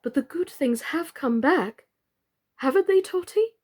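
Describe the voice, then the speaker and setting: Woman voicing an anxious, wistful gentleman doll. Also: sits high, lively intonation, measured pace, pitched above the performer's own voice. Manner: earnest, wistful, slightly trembling. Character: anxious, sentimental male doll in a children's storybook.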